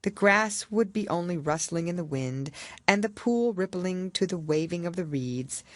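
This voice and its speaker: Expressive woman reading a storybook. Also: on the low side, dry recording. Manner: expressive, measured literary reading. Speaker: audiobook narrator. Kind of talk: scripted storybook narration.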